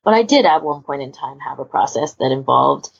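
Female podcast host, husky and low, chatty and rambling, slightly dull lo-fi sound. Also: expressive, brisk. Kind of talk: casual panel chat.

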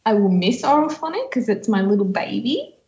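Echoey, high-voiced woman, lively and joking. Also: a little fast. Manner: self-deprecating, joking, laughs easily. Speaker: podcast co-host. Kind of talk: casual chat. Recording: roomy echo, dull lo-fi sound.

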